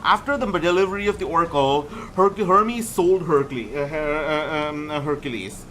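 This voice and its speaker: A man's voice: fairly high pitch, normal speed, fairly bright tone, slight background noise. A man reading aloud and commenting on gameplay casually, often stumbling over words and names and correcting himself. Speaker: gaming streamer reading a myth aloud.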